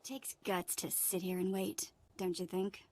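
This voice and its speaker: A woman's voice: lowish voice, level tone, measured pace, a little mellow. An actress playing a sassy, mocking young female companion in a video game, casual and sarcastic.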